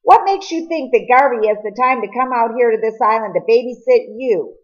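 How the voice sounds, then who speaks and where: A woman's voice: fairly high pitch, expressive, a little fast, dull audio, voice pushed up, natural voice. A woman reading the part of a skeptical older man, a stern mentor in a storybook, in a firm manner. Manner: firm, challenging.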